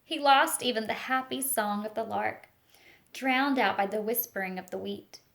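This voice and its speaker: A woman reading a picture book aloud to young children, expressive and speaking to them directly with teacher-like questions and asides. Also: fairly high pitch, moderate pace, gravelly. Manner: expressive storytelling, talks directly to young listeners.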